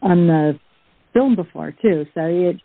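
A woman's voice: fairly low pitch, measured pace, dry recording. A woman in her early fifties chatting casually as an interviewed actor, stammering and repeating words as she goes. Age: early 50s. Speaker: radio drama actor being interviewed. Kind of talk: casual chat. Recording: dry, tinny phone-like band.

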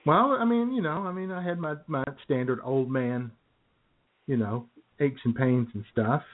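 A man's voice: mid-range voice, moderate pace. Middle-aged man, rambling chatty storyteller, tinny phone-line sound. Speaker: radio show co-host. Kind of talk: casual banter.

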